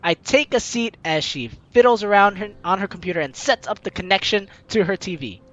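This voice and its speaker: A woman's voice: medium pitch, expressive, fairly quick, fairly bright tone, lo-fi sound. A young woman reading a visual novel aloud as a gaming host, with theatrical, teasing asides. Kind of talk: animated let's-play read-aloud and commentary.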